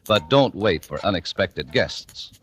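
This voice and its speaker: A man's voice: low voice, lively intonation, measured pace, slight background noise. A man announcing for a sponsor in polished, salesmanlike 1940s radio advertising style.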